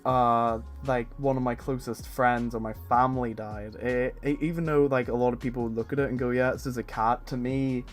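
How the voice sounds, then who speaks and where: A man's voice: mid-range voice, steady tone, normal speed, hardly any pauses, soft background music. A man in his twenties chatting casually on a stream, rambling with many fillers and restarts. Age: 20s. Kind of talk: casual chat.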